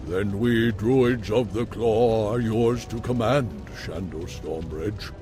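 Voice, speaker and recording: An actor playing a humble male druid of beast form, earnest and slow-spoken, in a game cutscene. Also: fairly low pitch. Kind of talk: video game cutscene acting. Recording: game audio with music underneath.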